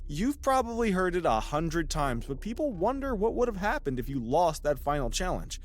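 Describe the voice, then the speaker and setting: An actor playing a skeptical, teasing podcast host who is sarcastic and probing, in a natural chatty audio drama style. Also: male voice, on the high side, big pitch swings, normal speed, slight background noise. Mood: incredulous.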